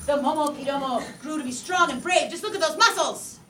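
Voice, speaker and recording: A woman telling a story to young children in a playful, lively way with drawn-out emphasis, in a classroom. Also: high-pitched, a little hiss or hum. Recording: echoey room from far off.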